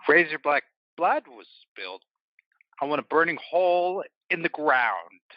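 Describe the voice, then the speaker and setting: A man's voice: fairly high pitch, big pitch swings, measured pace, dry recording, dull audio. A man playing a ruthless biker gang lieutenant in an audio play, commanding and menacing. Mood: cold command.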